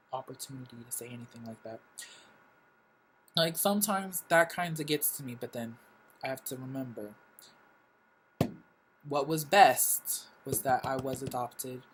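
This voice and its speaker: A man's voice: medium pitch, a little hiss or hum, rough recording. A young man born in Korea, telling his life story casually in a reflective vlog, full of fillers. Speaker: vlogger.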